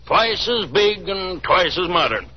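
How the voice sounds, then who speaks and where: A man's voice: sits high, animated, measured pace, breathy edge, full character voice. An actor playing a stern, scornful elderly businessman in a 1930s radio drama, blustering and sarcastic. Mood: scornful, gloating. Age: older. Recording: muffled 1930s radio broadcast recording.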